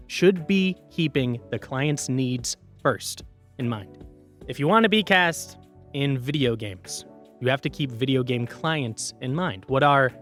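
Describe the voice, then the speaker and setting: A man with a fairly high, lively voice leads an informal workshop. His delivery swings widely in pitch and is conversational and emphatic, with light music behind him. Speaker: voice acting workshop presenter. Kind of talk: informal instructional presentation.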